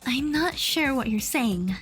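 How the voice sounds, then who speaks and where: A reasonable, conciliatory young woman, voiced by an actress in an audio drama. Her voice is very high and bright, with lively melody, as she gently objects. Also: a little slow, soft background music. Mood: concerned, reasoning. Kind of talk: fan audio drama acting.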